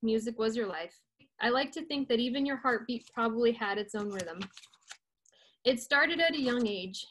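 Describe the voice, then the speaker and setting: A woman reading her own poem over a video call, earnest and steady. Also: a little high, normal speed, a little hiss or hum, rough recording. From United States. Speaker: poet.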